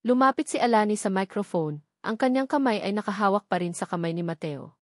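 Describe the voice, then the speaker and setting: A woman's voice: medium pitch, animated, brisk, no room sound, clean studio sound. A woman reading a scripted, dramatic love story as its narrator.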